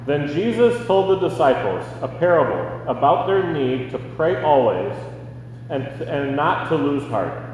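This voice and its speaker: An American man reading scripture aloud in church in a measured, formal manner. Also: a little high, sing-song, darkish tone. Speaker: scripture reader. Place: large indoor church. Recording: very echoey, distant, noisy hall.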